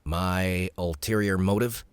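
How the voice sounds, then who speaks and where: A man's voice: low voice, fairly even intonation, takes it slowly, clean tone, no room sound. A man voicing a cautious young man posing as a soldier, speaking politely and carefully.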